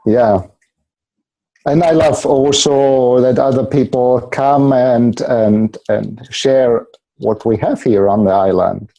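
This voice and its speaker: Male guest, warm dark tone, halting English, friendly. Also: mid-range voice, low-quality recording. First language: German. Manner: hesitant, repeats words, searches for English. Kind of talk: casual interview talk.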